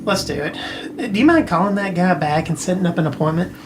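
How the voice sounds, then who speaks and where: A man's voice: sits high, animated, fairly quick, a little hiss or hum. A man playing an informal, practical studio partner in a mystery audio drama. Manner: informal, buddy-like. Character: jittery, practical male studio partner, voice of reason. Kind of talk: naturalistic audio drama acting.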